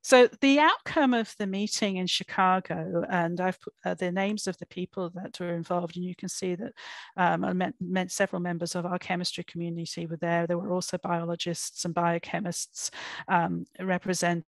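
A woman delivers an online academic keynote in a slightly low voice. She speaks thoughtfully, pausing on fillers and restarting phrases as she explains each point. The sound is dry and close, from a computer microphone. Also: steady tone, creaky, no echo.

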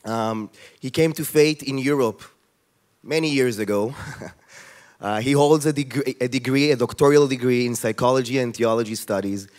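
Man introducing a speaker, halting. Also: medium pitch, a little mellow. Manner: hesitant, many fillers. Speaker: event moderator. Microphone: handheld mic.

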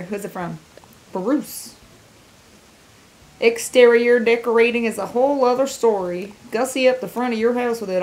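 A young woman streaming from her home room with a sore voice, chatting playfully with her viewers while she plays a game. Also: fairly high pitch. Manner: chatty, playful, talks to chat. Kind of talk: casual live-stream chat while gaming. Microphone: desk mic set back.

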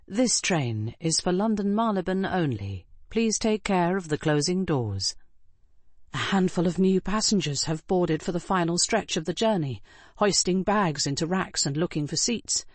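A woman reading scripted first-person fiction as an audiobook narrator, in an expressive, dramatic way. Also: on the low side, normal speed, no room sound.

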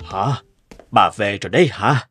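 A man's voice: fairly low pitch, unhurried, a bit bright, faint music behind. A man playing a laid-back elderly husband in a cartoon, offhand and drawling.

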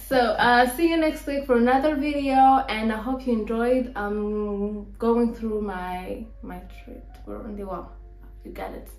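A young woman with a high voice chats playfully to her camera. She is outdoors on a farm, slipping between languages as she talks and laughing often. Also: fairly even intonation, unhurried, darkish tone, faint music behind. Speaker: vlogger. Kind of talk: casual vlog chat.

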